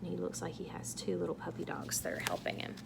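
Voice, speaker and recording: A woman reading a picture book aloud to young children in a playful, animated way, asking exaggerated wondering questions. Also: fairly low pitch, fast talker, vocal fry, heavy background noise. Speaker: children's read-aloud host.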